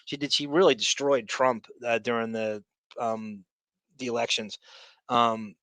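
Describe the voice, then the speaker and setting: A male podcast host with a bright, forward voice, talking in a chatty, opinionated way. The sound is close and dry with no room around it. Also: mid-range voice, steady tone, no room sound. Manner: chatty, opinionated, quick asides. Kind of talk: casual chat reacting to clips.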